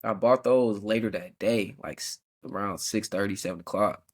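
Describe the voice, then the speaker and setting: A man's voice: fairly low pitch, moderate pace, breathy edge. Congested young man, laid-back host. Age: early 20s. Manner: laid-back, rambling, with frequent fillers and small laughs. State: congested, sucking a cough drop. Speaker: podcast host.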